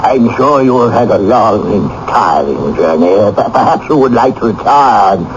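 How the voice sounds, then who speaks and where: Guy acting as a grand displeased king in an old radio play, low voice, dull hissy recording. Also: expressive, close to an everyday voice. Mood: awkward, evasive. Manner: halting politeness to a bride, commanding toward his minister. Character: imperious English king, displeased with his arranged bride. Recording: muffled, noisy old radio broadcast.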